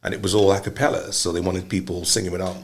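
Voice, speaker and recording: An older man from Wales, a singer telling his story casually, relaxed with many pauses. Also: low-pitched, gravelly, slight background noise. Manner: relaxed, rambling, many ums. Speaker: singer, guest.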